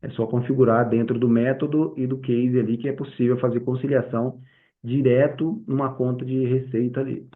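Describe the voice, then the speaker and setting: A man's voice: mid-range voice, narrow, tinny audio. Brazilian man explaining in an informal tutorial. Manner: conversational, frequently checks in with short tag questions. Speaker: software tutorial instructor.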